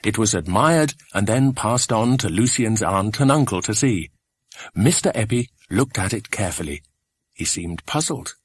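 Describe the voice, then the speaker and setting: Male narrator for children's adventure audiobook. Low, husky, very animated delivery, dry close sound. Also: moderate pace, no room sound.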